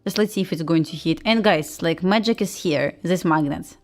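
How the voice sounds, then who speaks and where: A woman's voice: fairly low pitch, moderate pace, faint music behind. A woman with a Russian accent hosting a casual trading livestream, chatting informally and commentating in slightly non-native English. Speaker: host, futures day trader.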